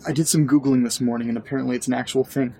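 A man's voice: medium pitch, fairly even intonation, rapid-fire, warm tone, lightly acted. An actor playing a skeptical, anxious, sensible young man in a naturalistic audio drama. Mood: troubled, somber. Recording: clear background noise with ambience.

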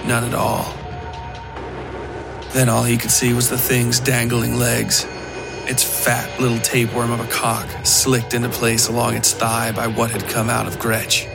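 Man, horror story narrator, scripted dark fiction, heavy vocal fry, quiet music underneath. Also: medium pitch.

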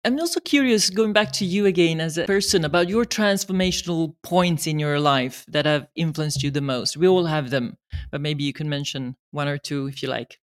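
A woman interviewer asking questions in an expressive way, sometimes pausing to find words. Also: sits low. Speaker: interviewer, host.

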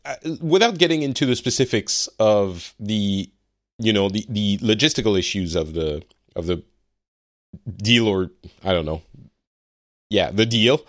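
A man's voice: fairly low pitch, expressive, a little slow, long pauses, no echo, clean studio sound. A man hosting a podcast, asking probing questions in a casual chat and stepping in to clarify. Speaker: podcast host.

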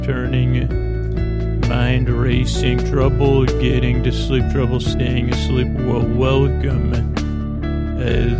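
A man hosting a sleep podcast who rambles off topic in soft bedtime chat, full of hesitant fillers. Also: mid-range voice.

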